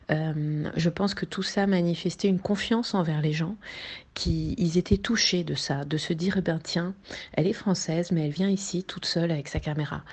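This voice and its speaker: Low-voiced woman filmmaker, thoughtful and halting. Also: a little mellow, husky. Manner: thoughtful, hesitant with many fillers. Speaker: documentary filmmaker, interviewee. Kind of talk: recorded interview answer.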